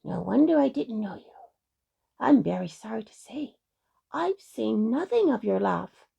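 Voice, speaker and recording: A woman's voice: fairly high pitch, big pitch swings, a little slow, gravelly, mellow, breathy edge, exaggerated voice. A woman voicing a friendly, earnest little lantern man, a helpful sprite in a children's storybook.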